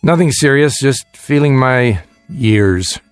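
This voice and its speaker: An actor in a radio drama playing a weary middle-aged father, gentle but guarded and measured in his delivery. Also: medium pitch, animated, smooth, no echo, faint music behind. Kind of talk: naturalistic radio drama acting.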